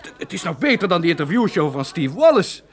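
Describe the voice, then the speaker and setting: An actor playing an excitable, opportunistic male television director in a radio play, frantic and prone to stammering. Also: a little high, fast. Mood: stunned, thrilled. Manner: frantic, stammering, self-questioning. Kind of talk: Dutch radio play acting.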